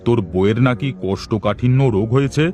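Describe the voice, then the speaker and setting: A man acts a bullying village chief in a cartoon fairy tale. He is stern and commanding, with a fairly low voice over clear background music. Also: fast talker, darkish tone, natural voice. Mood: threatening. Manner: commanding, menacing. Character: stern, bullying village headman, threatening and unbending.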